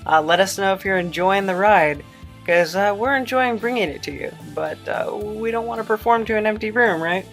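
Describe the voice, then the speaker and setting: A woman's voice: mid-range voice, moderate pace, soft background music. A woman hosting a podcast, chatting casually and informally to listeners with some hesitancy. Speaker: host and podcast creator.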